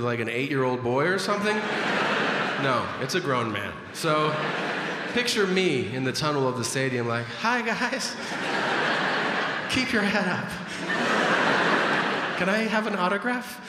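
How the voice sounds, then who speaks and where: A man's voice: mid-range voice, big pitch swings, heavy background noise. A man telling a long stand-up story on a large theater stage with a handheld mic, slipping into voices for the people in it. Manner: unhurried storytelling with voiced impressions. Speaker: stand-up comedian. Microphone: handheld microphone. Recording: echoey hall with loud audience.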